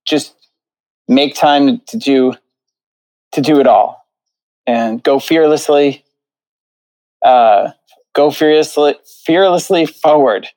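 A man with a fairly high voice speaks as a podcast guest. He is conversational and tends to repeat phrases for emphasis. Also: slow, long pauses, a little mellow, low-quality recording. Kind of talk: casual interview talk.

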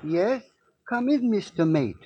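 A man's voice: sits high, big pitch swings, slow pace, background noise, exaggerated voice. An actor playing a cunning East Indian male smuggler with formal, taunting phrasing in a 1940s radio drama. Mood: feigned sickly politeness. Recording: muffled vintage 1940s radio recording.

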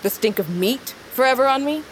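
A proud young huntress of the plains in a fantasy audio drama. She is brusque and sardonic, with a very lively swing in her voice. Clear outdoor ambience sits behind her. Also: sits high, fairly quick, a little hiss or hum, poor audio. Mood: frustrated.

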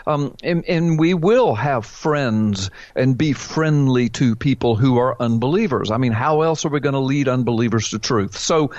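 A man's voice: medium pitch, normal speed, few pauses, darkish tone, dry recording, lo-fi sound. A man guest on a radio interview, talking earnestly and animatedly with folksy asides and many repeated words. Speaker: pastor and author guest.

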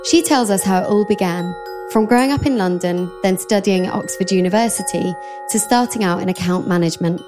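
A woman reading a scripted narration in a clear, measured voice. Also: mid-range voice. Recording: mallet music behind the voice.

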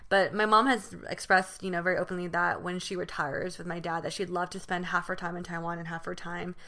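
A woman's voice: fairly low pitch, level tone, brisk, bright, forceful tone. A young woman chatting casually among friends as a podcast co-host, often dropping in "like" and "you know". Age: young adult.

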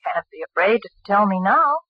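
A woman's voice: mid-range voice, expressive, normal speed, no echo, close to an everyday voice. An actress playing a plucky, probing young woman sleuth in a 1940s radio mystery. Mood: probing, pressing. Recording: muffled old radio recording.

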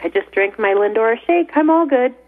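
A woman's voice: on the high side, expressive, fairly quick. Chatty laughing woman, phone-thin sound. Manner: chatty, laughs often, trailing sentences. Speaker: radio co-host. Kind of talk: casual studio chat. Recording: narrow phone-like radio sound.

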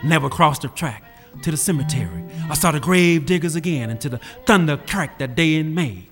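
A man's voice: fairly high pitch, lively intonation, brisk. A male storyteller narrating with a rhythmic, building cadence. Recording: reverberant space with music behind.

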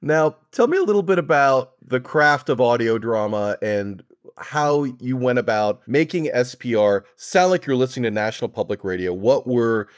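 A man's voice: mid-range voice, animated, normal speed, no room sound, clean studio sound. A man hosting a conversational podcast interview, encouraging and agreeing with his guest.

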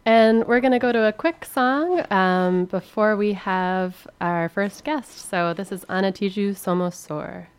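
A woman's voice: medium pitch, measured pace, few pauses, clean tone. A woman co-hosting a radio show who introduces a guest on the line, in a friendly and hesitant way with many ums. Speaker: radio co-host. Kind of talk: radio interview.

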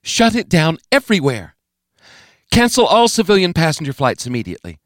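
A man's voice: on the high side, big pitch swings, a little fast, dry recording, studio quality, voice pushed up. A man voicing a stern, commanding woman president. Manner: clipped, decisive orders. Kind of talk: audiobook character voice.

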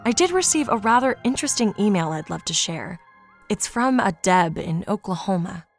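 A woman's voice: mid-range voice, animated, moderate pace, creaky, faint music behind. A woman playing a podcast host who reads out a fan's email in a casual, wry, sweary storytelling way for an audio drama. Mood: amused. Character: podcast host reading a fan's confessional horror-comedy email aloud. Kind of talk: scripted audio drama monologue, conversational storytelling.

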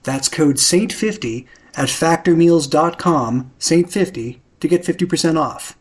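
A man's voice: a little high, a little slow. A man speaking casually as the creator and host of a podcast. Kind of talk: casual interview chat and read sponsor message.

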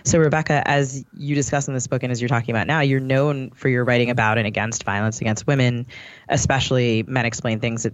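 Man, podcast interviewer, mid-pitched, prepared questioning, dry and slightly muffled sound. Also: fairly even intonation, quick pace, no echo.

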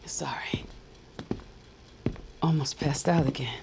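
A slow, creaky, exhausted witch. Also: low-pitched, background noise, exaggerated voice. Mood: drained, wry. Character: weary, secretive witch, the hero's loyal friend. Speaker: supporting actor. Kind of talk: audio fiction acting. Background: music.

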